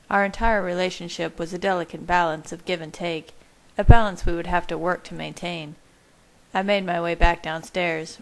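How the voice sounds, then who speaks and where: Female amateur audiobook reader, slightly low pitch, steady measured story narration. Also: poor audio. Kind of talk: scripted first-person story narration.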